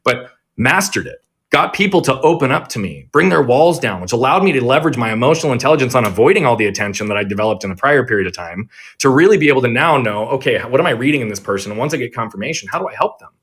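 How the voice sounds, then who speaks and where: A man in his thirties, a performance coach, telling his life story as a guest in an energetic, earnest and self-reflective way over a social audio app. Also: mid-range voice, fast talker, slightly rough. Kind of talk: conversational storytelling interview. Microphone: remote audio on a social audio app.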